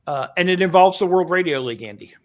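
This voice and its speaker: A man's voice: sits high, lively intonation, fast talker. A man co-hosting a podcast, chatting casually and explaining things with asides. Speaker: co-host.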